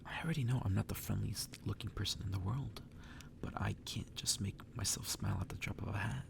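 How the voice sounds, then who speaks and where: A man reading a story aloud in a hushed, breathy ASMR whisper. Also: fairly quick, noisy background. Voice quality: breathy whisper, gravelly low voice. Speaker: ASMR reader.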